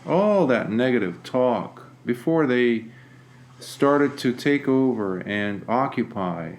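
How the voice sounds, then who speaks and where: A man leading a guided meditation as a teacher, speaking gently and deliberately with long pauses. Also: medium pitch, expressive.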